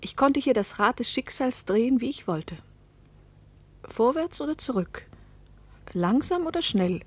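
Young woman telling her story in a radio play, husky, cool and reflective. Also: fairly high pitch, very animated, dry recording, exaggerated voice. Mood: exultant. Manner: measured, reflective. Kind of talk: first-person radio play narration.

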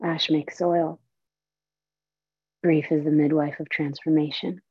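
Slow, earnest woman from Saudi Arabia. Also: deep voice, level tone, breathy edge, no room sound. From Saudi Arabia and Palestine. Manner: earnest, slow, deliberate delivery. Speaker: storyteller and performer. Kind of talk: theatrical monologue and personal talk. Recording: dull, lo-fi audio.

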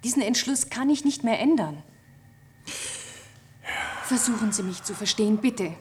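An actress playing a professor's wife burdened by foreknowledge, measured, solemn and resolute, in a German radio play. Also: female voice, a little high, animated, background noise, lightly acted.

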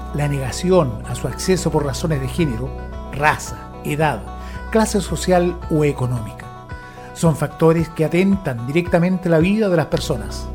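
A man's voice: on the high side, darkish tone. Man narrating over music. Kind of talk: scripted documentary-like narration.

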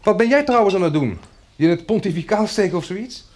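An actor in a radio drama playing a courteous, wry gentleman who has survived a catastrophe, in intimate, naturalistic dialogue with a gravelly, husky voice. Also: high-pitched, animated, quick pace. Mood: light, casual.

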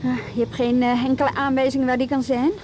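A woman's voice: high-pitched, flat delivery, fast, background noise, natural voice. An actress playing a wry, weary, no-nonsense professional woman in a naturalistic radio drama, speaking in a conversational, hesitant way. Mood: wry, casual.

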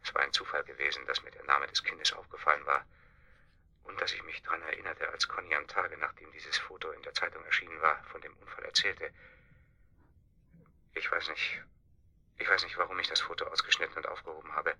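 An actor in a German radio drama playing a calculating, self-justifying false friend, speaking in a measured, deliberate way. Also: male voice, rumbling low, steady tone, gravelly, sharp tone, slightly rough, exaggerated voice. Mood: confessional, hushed.

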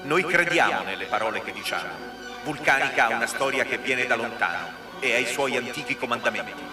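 An actor playing the bombastic narrator of a triumphant company promotional film, declaiming slogans in a grandiose manner. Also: male voice, medium pitch, lively intonation, fairly quick, natural voice. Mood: triumphant, solemn. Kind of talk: scripted promotional narration in radio drama. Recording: roomy echoing sound over music. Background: music.

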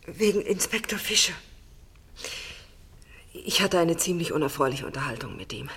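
An actress playing a shaken, earnest daughter in a German radio play, with deliberate dramatic phrasing. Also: female voice, deep voice, lively intonation, a bit bright, slightly rough, full character voice. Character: Maura, a shaken, earnest daughter recounting grim events.

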